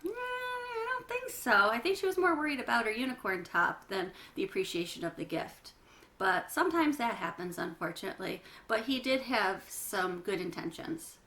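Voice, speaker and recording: A woman's voice: fairly high pitch, big pitch swings, normal speed, sharp tone. A woman reading a children's book aloud, narrating with chatty asides to young listeners. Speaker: children's read-aloud reader.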